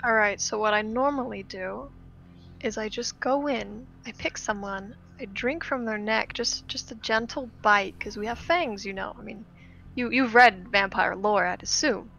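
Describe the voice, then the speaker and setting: A woman's voice: medium pitch, measured pace, gravelly, a bit bright, muffled recording. A woman talking casually as a player in a tabletop roleplaying game.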